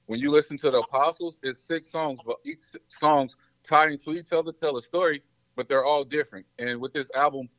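Loud guy rapper, slangy and laid back, dull lo-fi dry audio. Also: fairly high pitch, brisk, no room sound. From Mississippi and New Orleans, US. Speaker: rapper guest. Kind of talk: casual interview chat.

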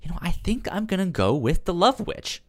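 Halting, hesitant man chatting on a podcast. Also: high-pitched, big pitch swings. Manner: hesitant, halting, often repeats words. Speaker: podcast co-host. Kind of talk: casual chat.

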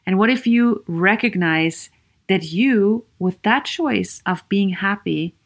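Slow-spoken Austrian woman podcast host. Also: mid-range voice. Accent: Viennese Austrian German. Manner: earnest, encouraging, many rhetorical questions and "you know" fillers. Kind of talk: solo podcast monologue.

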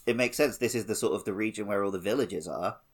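Lower-voiced man in casual podcast chat. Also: fast talker, slightly rough. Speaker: podcast co-host. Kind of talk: casual video game playalong chat.

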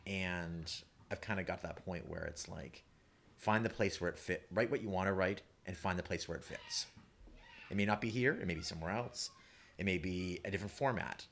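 A man's voice: low-pitched, brisk, creaky, fairly bright tone, slight background noise. A man with a Canadian accent telling personal stories casually as an interview guest, rambling a little, with self-deprecating humour. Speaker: playwright guest.

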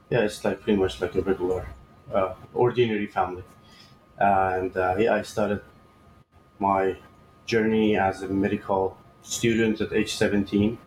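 A man in his thirties from Iran speaks in a fairly low voice, answering interview questions slowly and hesitantly. His words come with many pauses and small restarts. The recording sounds roomy, with a faint hiss behind him. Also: a little mellow. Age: 30s. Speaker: guest surgeon.

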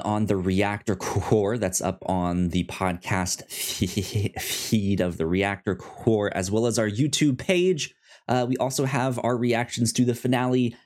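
A man hosting a casual podcast discussion, speaking in a halting way with repeated words. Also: lowish voice, a little mellow. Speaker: podcast host.

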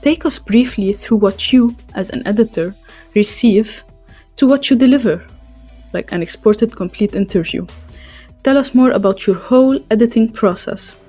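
A woman's voice: on the high side, moderate pace, a little mellow, faint music behind. A woman interviewer putting short, scripted questions to a guest.

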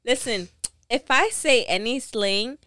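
A woman co-hosting a podcast, telling stories in quick, animated casual chat. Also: a little high, dry recording, studio quality. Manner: animated, quick, laughing storytelling. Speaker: podcast co-host.

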